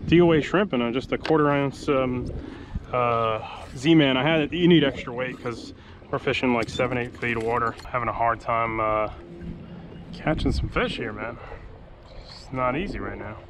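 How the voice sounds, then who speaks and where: A man's voice: medium pitch, normal speed. Easygoing guy in his 30s talking fishing from his kayak, action cam on the boat, wind and water hiss behind him. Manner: laid-back, chatty, excited exclamations over catches. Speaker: fishing vlogger. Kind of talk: casual running commentary while fishing. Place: kayak on open water under a pier, outdoors. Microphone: action camera mounted on kayak. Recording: outdoor wind and water noise. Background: water and wind ambience.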